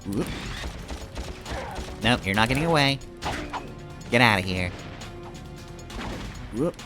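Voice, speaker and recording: A man's voice: medium pitch, animated, a little fast. A man giving casual, rambling live commentary while he plays a video game, thinking aloud as he goes. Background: video game music.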